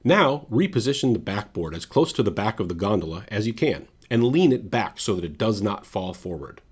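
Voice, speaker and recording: A man narrates a scripted how-to video in a lowish, raspy voice. His delivery is methodical and directive, taking instructions step by step, with lively movement in pitch. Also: fairly quick.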